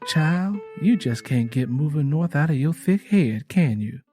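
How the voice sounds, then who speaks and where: A man's voice: a little high, animated, unhurried, mellow. Audio drama mother, played by a man: stern, weary, blunt, rural dialect, music underneath.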